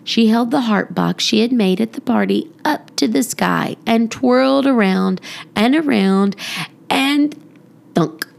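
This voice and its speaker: A woman reading a children's story aloud as expressive narration for young listeners. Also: a little high, unhurried, creaky. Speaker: podcast storybook reader.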